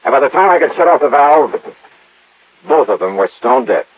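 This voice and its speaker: Male actor as shrewd investigator, medium pitch, lively inflection, hard-boiled, probing, vintage radio drama, tinny narrow recording. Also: normal speed, noisy background, lightly acted. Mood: tense narration. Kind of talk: old-time radio detective acting.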